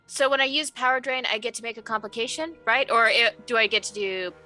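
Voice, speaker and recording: Gamer woman, bright highish voice, thinks out loud and exclaims, casual tabletop chat. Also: fairly quick, soft background music. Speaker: player in a tabletop roleplaying game.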